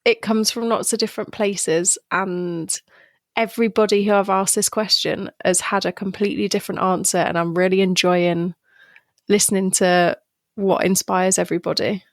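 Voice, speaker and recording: Gravelly-voiced Yorkshire woman host. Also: medium pitch, little pitch movement, moderate pace, no room sound, studio quality. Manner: warm, encouraging, upbeat. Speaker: podcast host. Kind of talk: casual interview chat.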